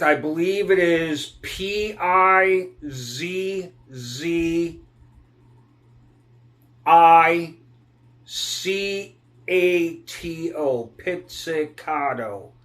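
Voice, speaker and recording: Slow, rambling male guitar teacher. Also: fairly high pitch, fairly bright tone. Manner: rambling, digressive, clears throat often. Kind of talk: casual guitar lesson talk.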